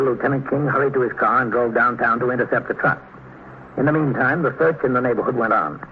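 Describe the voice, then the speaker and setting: An American man playing a steady, matter-of-fact police captain who also narrates a 1950s radio drama. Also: medium pitch, lightly acted. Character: male police captain, steady, measured, kindly. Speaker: narrator and lead actor. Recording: muffled narrow-band old radio recording, faint noise.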